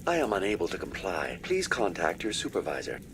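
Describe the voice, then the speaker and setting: A man voicing a polite, detached virtual assistant in a video game, speaking in a formal, measured way. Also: low voice, huge pitch swings, noisy background, full character voice. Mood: impassive, formal. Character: polite, impassive male virtual assistant, unfailingly procedural.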